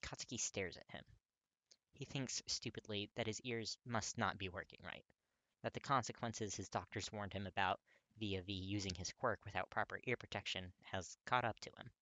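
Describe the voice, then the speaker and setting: A narrator reading fiction aloud in an expressive, scripted storytelling style. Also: deep voice, normal speed, dry recording.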